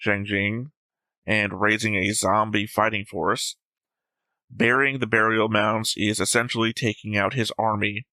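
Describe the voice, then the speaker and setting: A man with a fairly low but bright voice reads methodically through his notes in a casual discussion. He stays careful and measured, and the sound is dry and close. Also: no echo, poor audio. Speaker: reaction show host.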